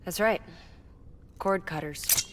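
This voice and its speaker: Game role: an earnest female engineer, low voice, tender and candid, measured delivery, music underneath. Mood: matter-of-fact. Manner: measured, explanatory, short phrases with pauses. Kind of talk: video game cutscene acting.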